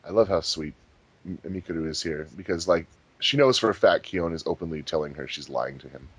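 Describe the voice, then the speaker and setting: A man's voice: low voice, brisk, husky. A man giving casual, enthusiastic group commentary on a fan dub he worked on. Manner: enthusiastic, rambling fan talk. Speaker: fandub director on a commentary track.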